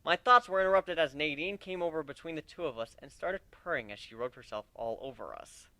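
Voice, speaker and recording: A man narrates a story in the first person with a bright, forceful voice. His pitch sits fairly high and moves around a lot, giving an expressive read. The sound is dry and close. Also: a little fast, no room sound, poor audio. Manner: animated, expressive. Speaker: narrator of a fan story reading.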